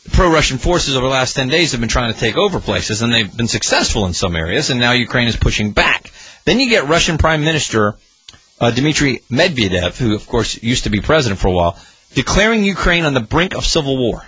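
A man hosting political talk radio, sarcastic and animated, his pitch leaping around as he mocks. His voice is bright and a little husky, recorded dry and slightly dull. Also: fairly quick, dry recording. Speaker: guest radio talk host.

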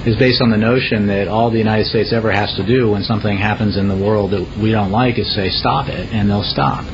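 A male spokesman at a briefing, answering in a measured, defensive tone with a fairly low voice. The clip sounds dull with clear background noise. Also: steady tone. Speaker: press spokesperson.

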